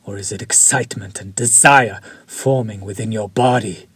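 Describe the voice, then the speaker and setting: A man's voice: lowish voice, a bit bright, slightly rough, no room sound. A man playing a suave vampire chef. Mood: seductive. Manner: slow, formal, seductive and menacing. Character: suave predatory male vampire chef, refined and possessive. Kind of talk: audio roleplay for a listener.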